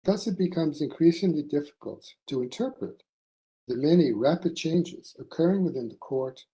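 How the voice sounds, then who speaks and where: A man delivering a formal academic conference paper from a prepared text, in measured, deliberate phrases. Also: a little high, lively intonation, warm tone, poor audio. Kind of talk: formal scholarly lecture read from a prepared text.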